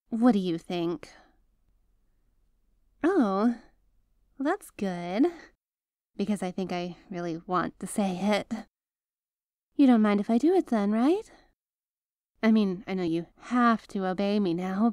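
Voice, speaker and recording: A woman's voice: medium pitch, darkish tone, no echo, clean studio sound. A woman playing a doting, bashful yet possessive young mafia heiress in an intimate ASMR roleplay, speaking to the listener in a hesitant, coaxing way. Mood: encouraging, pleased, then coy, adoring. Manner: hesitant, coaxing, affectionate, halting phrasing.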